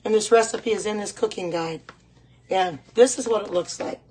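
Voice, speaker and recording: Hoarse middle-aged woman, medium pitch, casual cooking host, chatty, welcoming viewers, repeats herself. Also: moderate pace, darkish tone, low-quality recording. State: hoarse voice, clearing throat at times. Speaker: host of a live home cooking stream. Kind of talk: casual live cooking demonstration chat. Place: home kitchen.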